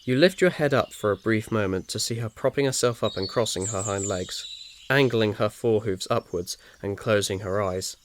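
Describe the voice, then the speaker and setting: A man's voice: lowish voice, normal speed. A man reading scripted second-person story narration in an ASMR style. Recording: faint noise with birdsong behind.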